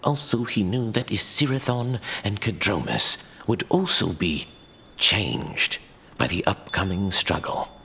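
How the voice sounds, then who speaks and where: A man playing a learned old archivist who tells a fantasy legend in a grave, measured, theatrical way. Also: fairly low pitch, expressive, creaky, pushed and bright, a little hiss or hum, heavily acted. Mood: grave, portentous. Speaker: narrator. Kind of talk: dramatic fantasy audio drama storytelling.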